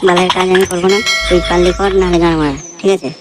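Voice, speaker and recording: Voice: mid-range voice, fairly even intonation, quick pace, very noisy, natural voice. A performer voicing a broke young labourer in a comedy cartoon, speaking colloquially and repeating short phrases. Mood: fed up. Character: broke young labourer, foul-mouthed, easygoing, looking for work.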